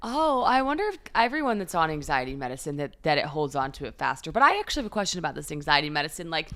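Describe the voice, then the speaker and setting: A woman's voice: sits low, very animated, racing, bright, forceful tone, dry recording. A young woman co-hosting a podcast, asking curious questions in a casual chat. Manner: curious, asks questions, upbeat in the ad read. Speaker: podcast co-host. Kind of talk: casual chat and host-read ad.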